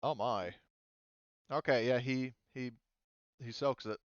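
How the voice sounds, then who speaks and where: A man running a tabletop roleplaying game, talking casually through the rules and thinking aloud in a halting way. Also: medium pitch, clean tone, highs cut off. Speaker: game master.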